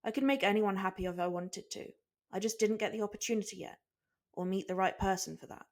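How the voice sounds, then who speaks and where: A woman's voice: mid-range voice, fairly even intonation, brisk, close to an everyday voice. A woman voicing a stubborn, competitive young male swordsman in a blunt, grumbling, scornful manner. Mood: irritated.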